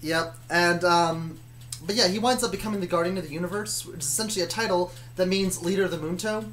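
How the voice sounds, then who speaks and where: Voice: mid-range voice, fast, fairly bright tone. A person telling stories about their own fictional universe in a casual, rambling, hesitant way, often correcting themselves. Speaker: storyteller.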